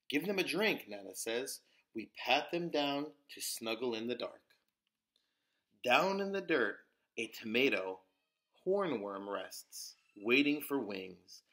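A man reading a children's picture book aloud as a storyteller, with deliberate, dramatic emphasis. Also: medium pitch, a bit bright. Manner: deliberate, dramatic emphasis with occasional whispers and exclamations.

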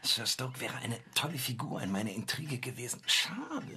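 An actor playing a wistful crime author musing over his plots, with a husky voice, in radio play style. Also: mid-range voice, very animated, normal speed, bright, forceful tone, heavily acted. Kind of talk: German radio play acting.

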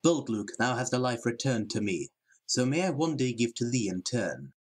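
A man's voice: mid-range voice, expressive, moderate pace, slightly rough. A man playing a loyal rebel fighter pilot in an audio drama, speaking in Elizabethan verse. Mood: relieved, grateful.

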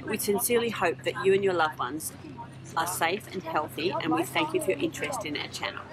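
A woman's voice: fairly low pitch, a little fast, a crowd in the background, rough recording. A middle-aged Australian woman co-hosting a travel vlog, speaking casually to viewers outdoors. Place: outdoors on a riverside overlook. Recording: outdoor hubbub behind the voice.

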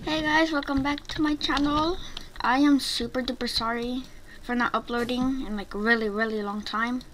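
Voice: high-pitched, fairly even intonation, fairly bright tone. A female-sounding gaming YouTuber giving casual gameplay commentary in a rambling, hesitant way. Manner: rambling, hesitant, halting delivery. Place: home bedroom, voice over gameplay. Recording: noisy home mic with keyboard clicks.

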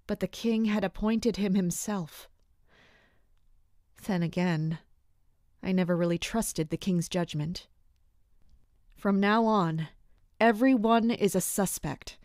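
An actress playing a dutiful, guarded mercenary captain, narrating in a measured, serious way in an audio drama. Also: female voice, medium pitch, a little mellow, no room sound, studio quality, lightly acted. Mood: suspicious, guarded. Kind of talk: scripted first-person audio drama monologue.

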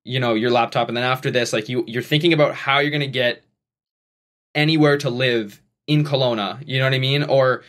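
A man's voice: medium pitch, fairly even intonation, fairly bright tone. Young man, podcast host, home studio mic, casual chat, frequent restarts. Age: 20s. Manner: quick, rambling, many restarts. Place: bedroom at home. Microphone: studio mic on boom arm, headphones.

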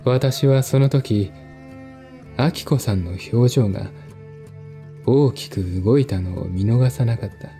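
A man narrating a mystery novel as a dramatic audiobook, measured and deliberate, with pauses between phrases. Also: mid-range voice, warm tone. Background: faint music.

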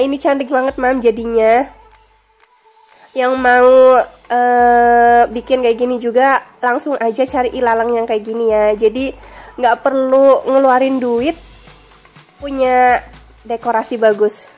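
A woman's voice: a little high, darkish tone. A young woman with a cold and a stuffy nose, chatting to viewers in a friendly voice-over for her home vlog. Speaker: vlogger. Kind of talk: casual voice-over narration.

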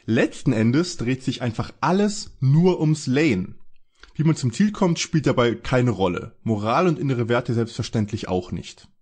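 Man as husky cocky young sleuth. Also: mid-range voice, lively intonation, a little slow, a little mellow. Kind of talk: parody detective audio drama acting.

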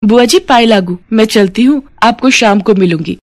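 A woman's voice: fairly high pitch, normal speed, pitched above the performer's own voice, close to an everyday voice. A woman voicing a young daughter-in-law in a cartoon story, polite but easily offended. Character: touchy young daughter-in-law, eldest of three.

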